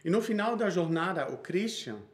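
A man's voice: high-pitched, lively intonation, moderate pace. A man in his thirties with a Brazilian Portuguese accent, preaching a sermon he reads from notes, with frequent small restarts.

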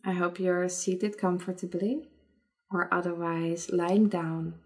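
Female meditation guide: mellow, very even delivery, soothing, leaving long pauses. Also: on the low side.

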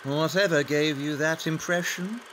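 A man's voice: a little high, moderate pace, background noise, natural voice. An actor playing a brilliant, haughty detective in an audio play, precise, imperious and sardonic in his delivery.

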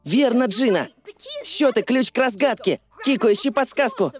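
A woman's voice: fairly high pitch, animated, moderate pace, soft background music, lightly acted. A woman voicing a clever, cautious, confident boy detective in a cartoon dub.

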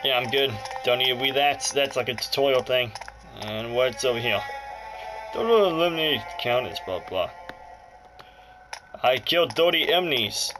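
A man's voice: mid-range voice, normal speed, pauses often, low-quality recording. A man giving casual, off-the-cuff gameplay commentary as a Let's Play host, muttering short reactions to the action. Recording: loud game music over the voice. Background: loud music and game audio from a handheld console.

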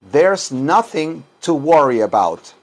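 A middle-aged man gives an informal bilingual language lesson. His voice sits slightly high for a man. He speaks at a very slow pace, repeating phrases and spacing out the words as if dictating. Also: big pitch swings. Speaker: English teacher and self-styled chaplain. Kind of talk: bilingual English lesson in Spanish and English. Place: small wood-paneled room indoors.

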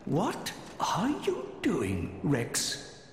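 Ancient weary titan, slow and echoing. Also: male voice, on the high side, huge pitch swings, slight background noise, heavily acted. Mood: bitter, weary. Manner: brooding, slow and deliberate, philosophical. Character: ancient weary titan, boy's grandfatherly companion. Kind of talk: dramatic game cutscene acting. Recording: echoey with long reverb, music behind.

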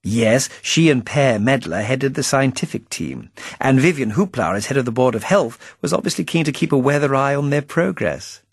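A man's voice: mid-range voice, lively intonation, moderate pace, breathy edge, dry recording, studio quality, voice pushed up. A man voicing a chipper, suave English spymaster in a storybook, with jaunty upper-class patter and drawled endearments. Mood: affable, confiding. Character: chipper, suave British spymaster, breezy and affable.

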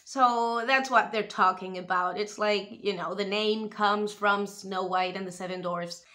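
A woman's voice: mid-range voice, unhurried. A young woman from Spain, raised in the US, chatting animatedly to camera from a room at home. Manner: animated, expressive, gestures while talking. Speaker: vlogger reviewing a TV show. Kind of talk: casual chatty commentary to camera. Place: small room at home, indoors. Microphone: webcam or camera close in front.

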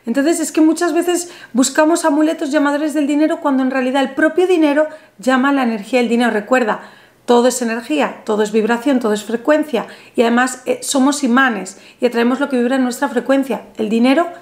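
A woman in her thirties hosting a spiritual video, calmly guiding the viewer through a money ritual, seated at a table in a small bright room. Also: high-pitched, a little fast. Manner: soothing, instructive, addresses the viewer directly. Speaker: spiritual channel host. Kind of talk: guided money ritual tutorial. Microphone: camera in front, seated at a table.

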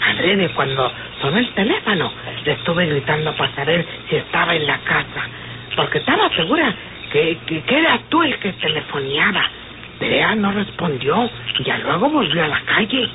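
Anxious, chatty Mexican woman in a radio serial; very animated pitch, heavy noise on a tinny recording. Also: moderate pace. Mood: gossipy, confiding. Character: anxious, talkative woman sheltering an outlaw. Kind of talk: melodramatic old Mexican radio serial acting.

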